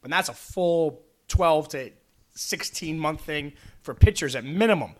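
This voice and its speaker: A man co-hosting a sports podcast with a slightly raspy, hoarse voice, talking quickly and casually with frequent restarts. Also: a little high. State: hoarse after a busy weekend. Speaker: podcast co-host.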